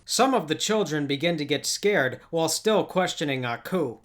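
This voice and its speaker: A man with a voice a little higher than usual narrates a scripted episode recap. He retells the story step by step as the narrator of a video essay. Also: lively intonation, moderate pace.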